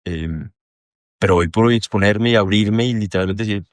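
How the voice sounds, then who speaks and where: A man's voice: on the low side, normal speed, no room sound, studio quality. A young man with a Colombian accent, a podcast guest talking candidly and at length, rambling and self-deprecating, swearing freely. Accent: Colombian Spanish. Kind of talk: candid casual conversation.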